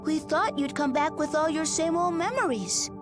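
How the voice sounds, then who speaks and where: High, childlike little spirit. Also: female voice, expressive, moderate pace, music in the background. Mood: apologetic, playful. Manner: babyish, folksy, casual phrasing. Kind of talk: video game voice acting.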